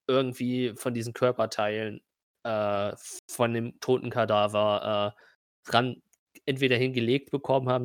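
A man's voice: mid-range voice, no echo, clean studio sound. A man narrating an improvised tabletop role-playing game casually as game master, often rephrasing his descriptions as he goes.

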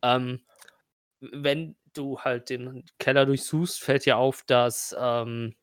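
A man, improvising colloquial game master narration in halting sentences. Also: mid-range voice, fairly even intonation, clean tone, no echo.

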